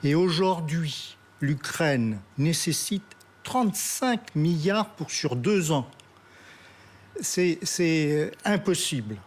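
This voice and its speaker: Halting male analyst, fairly high voice. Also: animated. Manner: halting, searching for words, repeats himself. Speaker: studio guest analyst. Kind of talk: unscripted political commentary.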